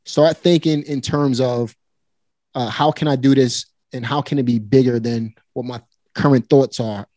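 A forty-year-old man talks in a relaxed, motivating way, speaking right to his listeners. His voice sits at an ordinary middle pitch and is recorded dry and close with no room sound. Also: normal speed, dry recording. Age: 40s. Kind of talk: casual conversation.

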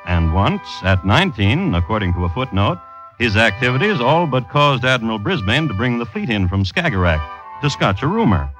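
Lively, wry radio narrator over music. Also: male voice, mid-range voice. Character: wry, grandiose storyteller of a rogue's history. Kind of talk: scripted old-time radio narration.

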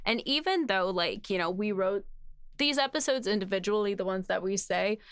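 A woman's voice: medium pitch, expressive, normal speed, a bit bright, clear, resonant tone, dry recording, clean studio sound. A woman co-hosting a podcast, talking loosely and casually about writing a show, with plenty of "like". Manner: loose, hedging with frequent "like". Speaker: podcast host and series writer.

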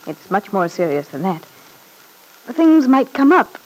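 An edgy young heiress of old radio melodrama, with a mid-pitched voice. She sounds nervous and pleading, hesitating like someone with a secret. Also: big pitch swings, a little slow, a little mellow, rough recording. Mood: urgent, cautioning. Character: anxious, edgy wealthy daughter with something to hide. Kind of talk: classic radio soap opera acting.

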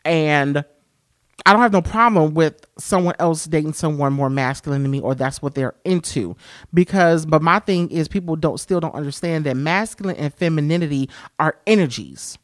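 A man with a high-pitched voice hosting a podcast, talking frankly and expressively with many "you know" fillers. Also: normal speed, no echo, clean studio sound. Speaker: podcast host. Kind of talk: candid solo podcast monologue.

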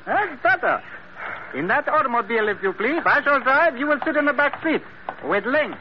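A polite, menacing henchman in vintage radio drama, with a very high, swooping voice. He speaks soft, stilted broken English. The old recording is muffled. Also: big pitch swings, normal speed, noisy background. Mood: coolly courteous.

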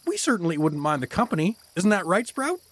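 A man's voice: high-pitched, very animated, a little fast, no room sound. A man playing a bumbling, good-natured married everyman hero, hesitant and folksy, in a fantasy comedy audio drama. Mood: flustered, friendly.

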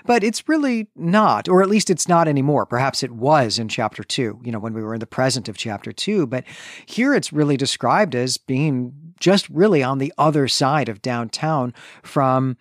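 A man's voice: mid-range voice, no room sound, studio quality. A man co-hosting a literary podcast, thoughtfully recapping and analyzing chapters of a novel. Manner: thoughtful, measured, with frequent self-corrections. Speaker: podcast co-host.

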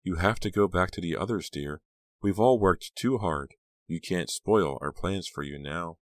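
A man voicing a sinister small woman in a storybook who poses as a gentle aunt, firm, blunt and coaxing. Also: sits low, normal speed, no echo, natural voice. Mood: gently menacing.

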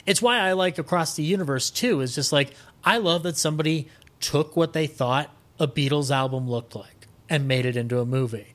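Higher-voiced man, animated and rambling. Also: fairly quick. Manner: animated, rambling, opinionated, acts out imagined quotes. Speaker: podcast guest. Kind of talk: casual enthusiastic podcast conversation.

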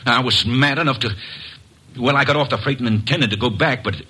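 A man playing a bitter, driven drifter bent on revenge in a 1940s radio drama, tense and husky. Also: medium pitch, fairly quick, bright, forceful tone. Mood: grim.

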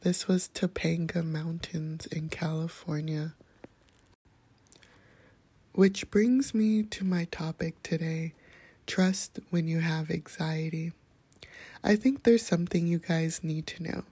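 A calm, soft-spoken woman hosting a podcast, sharing a reflective personal monologue in a hushed, whispery way. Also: low-pitched, slow, long pauses, husky, no room sound. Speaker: podcast host.

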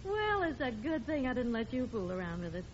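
An actress playing an exasperated, no-nonsense middle-aged wife in a radio comedy, brisk, skeptical and scolding. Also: female voice, fairly high pitch, big pitch swings. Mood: weary. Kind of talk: old-time radio situation comedy acting. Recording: dull, noisy vintage radio recording.

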